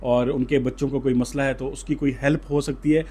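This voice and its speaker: A male interviewer with a Pakistani accent and a mid-pitched voice. He puts his questions informally, in long, hesitant phrases padded with filler words. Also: little pitch movement, brisk, dark tone, husky. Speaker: interviewer and host.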